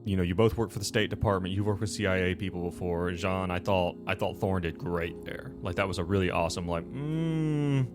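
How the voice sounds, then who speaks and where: Music plays behind a man with a very low voice. He hosts a tabletop game in a casual, rambling style, backtracking and correcting himself as he recaps. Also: a little fast, hardly any pauses. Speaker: host and game master of a tabletop game show.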